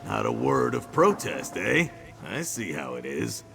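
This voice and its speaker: A gravelly boastful orc. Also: male voice, fairly low pitch, wildly swooping pitch, a little slow, a bit bright, faint music behind, exaggerated voice. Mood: gruff. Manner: boisterous, teasing, coarse. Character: gruff boastful male orc ally. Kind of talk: video game voice acting.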